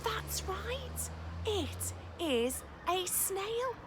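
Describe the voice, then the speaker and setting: A woman teacher reading a storybook to young children in an expressive, encouraging way, with dramatic emphasis and chatty questions to her listeners. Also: very high-pitched, extremely slow, very noisy.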